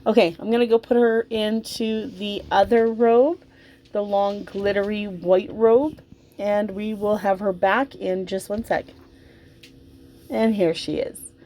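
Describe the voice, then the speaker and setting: A woman's voice: mid-range voice, measured pace, warm tone. A woman giving casual, chatty hobby commentary in an informal, rambling and effusive way. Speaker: doll hobbyist vlogger. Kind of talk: casual, chatty commentary while dressing a doll.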